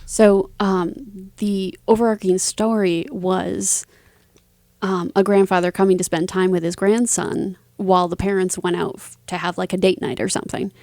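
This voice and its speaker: A woman's voice: mid-range voice, little pitch movement, moderate pace, darkish tone, dry recording. A woman speaking casually as an interview guest, with informal phrasing and emphatic words. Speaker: interview guest, writer and performer.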